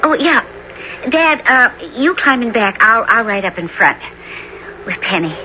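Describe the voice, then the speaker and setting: An actress playing an anxious, loyal young circus performer with fretful, folksy talk in a 1970s radio drama. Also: female voice, on the high side, very animated, measured pace, pushed and bright, exaggerated voice. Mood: hushed, uneasy. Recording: muffled, noisy radio broadcast.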